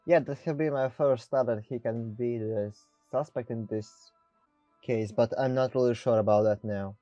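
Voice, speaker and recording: A man's voice: mid-range voice, moderate pace, no echo, lo-fi sound, faint music behind. A man who is a non-native English speaker, giving casual gameplay commentary, thinking aloud somewhat hesitantly. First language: not English. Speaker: gaming streamer.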